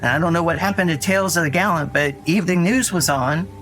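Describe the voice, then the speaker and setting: An actor playing an earnest, anxious young boy who tells his story in a rambling, halting way in an audio drama. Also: a little high, a bit bright, lightly acted. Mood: puzzled, earnest. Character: Dougie, frightened earnest young boy recounting his brother's disappearance. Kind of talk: naturalistic audio drama acting. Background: music under the voice.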